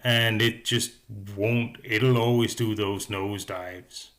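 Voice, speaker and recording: A man's voice: lowish voice, fairly even intonation, takes it slowly, heavy background noise. A man giving a casual, unscripted hobby review commentary, with hesitant, rambling phrasing.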